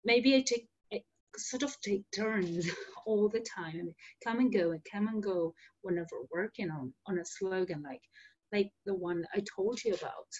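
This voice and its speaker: A woman's voice: medium pitch, moderate pace, husky, muffled recording. A woman in her thirties from Argentina, a native Spanish speaker, hosting a solo podcast in an earnest, explanatory way with fillers and restarts. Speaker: podcast host.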